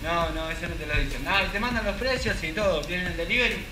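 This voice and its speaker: A man's voice: sits high, fast, background noise. A young man with a Rioplatense Argentine accent, hosting a casual, joking motorbike vlog, recorded on a helmet camera in the street and in a small ice cream shop. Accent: Rioplatense Spanish. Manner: joking, chatty and upbeat. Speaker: motovlogger host. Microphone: helmet-mounted action camera.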